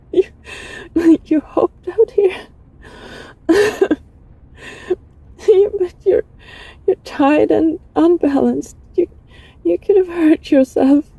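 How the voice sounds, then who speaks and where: A woman acting as a very mellow husky female lover, slow halting delivery, emotional, flustered, desperately devoted, intimate audio roleplay. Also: very high-pitched, no echo, exaggerated voice. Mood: worried, tearful. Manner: halting, emotional, breathy sighs and stammers. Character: lovesick time-travelling former bully, flustered, tearful, desperately devoted.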